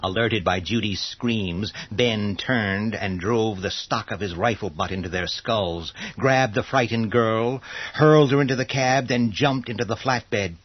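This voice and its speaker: Casting: male horror narrator, raspy lowish voice, scripted storytelling, close dry sound. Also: a little slow, no room sound, highs cut off.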